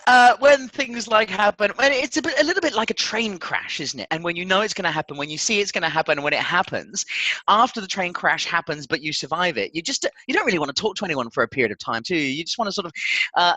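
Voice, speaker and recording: A man's voice: high-pitched, pushed and bright, dry recording, rough recording. A man co-hosting a football podcast with casual banter in a teasing, jokey, theatrical way.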